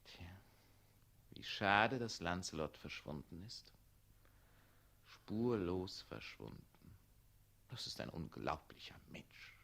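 An actor playing a scheming, oily official who feigns brotherly sympathy in a 1960s radio play, with an ingratiating, insinuating manner. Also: male voice, low voice, very animated, takes it slowly, creaky, pauses often, sharp tone, heavily acted. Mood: feigned sympathy.

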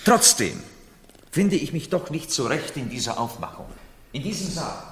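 Indignant, bewildered male newcomer, 1970s radio play, lively intonation, reverberant room. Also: a little high, moderate pace. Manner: complaining, bewildered. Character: flustered, indignant newcomer hired as a costumed courtier.

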